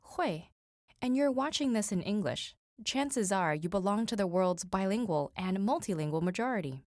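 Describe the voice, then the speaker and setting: Woman narrating an explainer, dry and clear. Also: medium pitch, dry recording. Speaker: narrator of an educational video.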